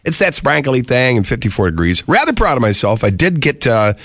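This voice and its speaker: A middle-aged man hosting morning radio, chatty and quick to laugh, talking through a head cold. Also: mid-range voice, big pitch swings, fairly bright tone, no echo, studio quality. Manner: chatty, laughs easily, rambling restarts. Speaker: radio morning show host. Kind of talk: casual morning radio chat.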